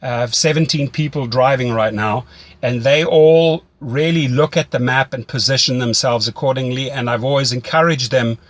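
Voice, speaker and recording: A man's voice: medium pitch, normal speed, no room sound. A man with a mid-range voice talks as a coach. He explains things step by step in a conversational, instructive manner, repeating phrases to drive points home. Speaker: rideshare driving coach. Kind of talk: conversational phone mentoring.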